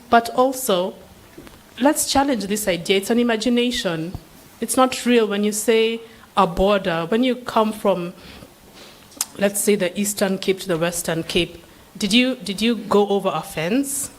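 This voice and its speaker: A woman's voice: medium pitch, unhurried, slight background noise, rough recording. A woman speaking as a seminar panelist, giving an unscripted answer in an earnest, questioning way with frequent restarts. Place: seminar room.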